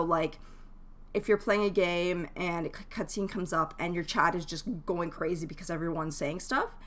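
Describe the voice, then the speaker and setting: A woman streamer chatting casually to her live viewers, giving advice in a rambling, jokey way and often breaking off mid-thought. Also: lowish voice. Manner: jokey, laughs easily. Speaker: game streamer.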